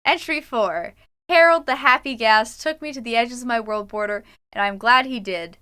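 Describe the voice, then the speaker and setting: A young Canadian woman chats her way through a gaming livestream, sometimes laughing and sometimes reading her own journal entries aloud. Her voice is bright and very clean, sitting a little high. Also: normal speed, clear, resonant tone. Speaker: streamer. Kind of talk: casual livestream chat while gaming.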